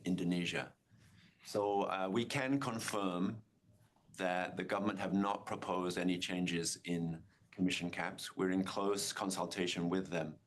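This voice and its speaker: A man speaking as a company executive, giving measured, formal answers on an earnings call. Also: sits low.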